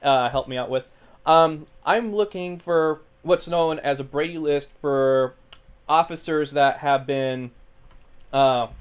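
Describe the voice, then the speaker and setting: A man with a mid-range voice is the caller in a recorded phone conversation. He asks questions haltingly, politely but with persistence. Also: mid-range voice, clean tone. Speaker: caller asking about own case. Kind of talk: phone inquiry. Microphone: recorded phone call.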